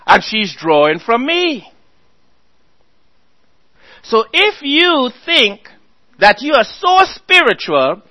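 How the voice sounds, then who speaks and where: A man preaching a sermon, earnestly exhorting his listeners and reading scripture aloud slowly. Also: extremely high pitch, sharp tone, poor audio.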